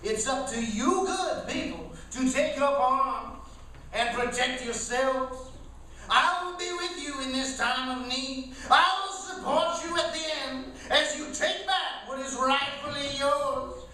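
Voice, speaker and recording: A man's voice: very high-pitched, a little slow, bright, forceful tone, exaggerated voice. A man playing a zealous frontier agitator in a western radio play, making an emphatic speech to rouse settlers. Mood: urgent, rousing. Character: zealous rabble-rousing frontier man stirring settlers to arms. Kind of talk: western radio theater acting. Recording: echoey room, distant mic, faint noise.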